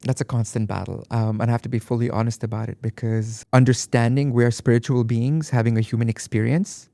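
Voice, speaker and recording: A man's voice: mid-range voice, fairly even intonation, a little fast, gravelly, warm tone, no room sound, studio quality. A man from Dubai, guest on an interview podcast, speaking reflectively and with emphasis, often repeating phrases. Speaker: guest artist. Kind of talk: conversational interview.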